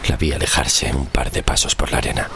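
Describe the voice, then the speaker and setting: An actor from playing a haunted, melancholy shipwrecked sailor who tells his tale in a literary horror audio drama, slow and solemn. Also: rumbling low, vocal fry, background noise. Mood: brooding, melancholy. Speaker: narrator and lead character. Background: music under the voice.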